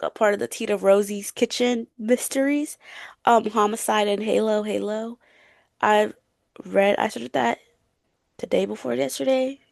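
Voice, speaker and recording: Creaky-voiced woman chatting casually about books. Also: mid-range voice, a little mellow, no echo, studio quality. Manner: informal, youthful slang, self-correcting. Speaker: co-host of a book podcast.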